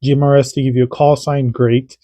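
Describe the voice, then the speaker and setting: Casual male tutorial host, warm low-key tone, dry close sound, rambling explainer. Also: little pitch movement, brisk, dry recording. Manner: chatty, repeats himself, radio checks. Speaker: radio hobby channel host.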